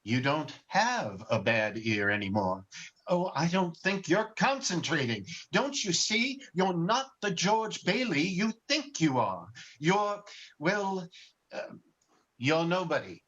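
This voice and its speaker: A man playing a gentle, earnest, bumbling guardian angel with a hesitant, genteel manner in 1940s radio drama style, recorded over a video call. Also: on the high side, very animated, slow, heavily acted. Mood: delighted, mysterious. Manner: hesitant, old-fashioned, genteel. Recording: dull, muffled video-call audio.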